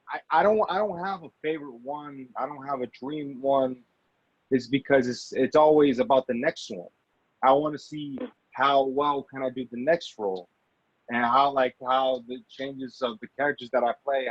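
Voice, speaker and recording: A man being interviewed as an actor, chatting casually and haltingly, often repeating phrases for emphasis. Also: medium pitch, dry recording. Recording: dull, muffled lo-fi audio.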